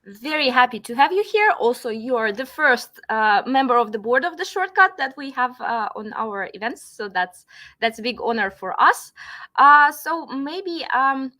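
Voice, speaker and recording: A woman's voice: sits high, animated, normal speed, bright, forceful tone. A woman hosting a live broadcast question-and-answer session, introducing topics and putting questions to a guest. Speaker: host of a live Q&A.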